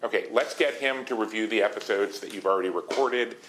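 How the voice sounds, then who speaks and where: Low-voiced, grave actor as a male investigator. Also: a little fast, a bit bright, lightly acted. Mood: concerned. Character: grave male investigator alarmed by hidden cult messages. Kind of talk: naturalistic recorded meeting dialogue. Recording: noisy meeting tape.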